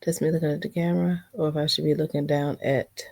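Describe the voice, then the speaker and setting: Woman, low voice, mildly congested, casual vlog chat, unscripted rambling, computer mic. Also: flat delivery, fast, creaky, a little mellow. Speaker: vlogger practicing for a podcast. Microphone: built-in computer mic, no external microphone.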